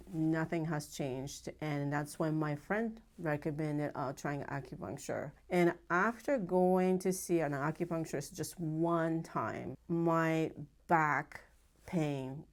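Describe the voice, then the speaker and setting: Japanese-accented woman, deep-ish gravelly voice, talks slow and earnest with lots of um pauses. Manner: measured and earnest, with frequent pauses and fillers. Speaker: pediatric acupuncturist. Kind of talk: personal story told to camera.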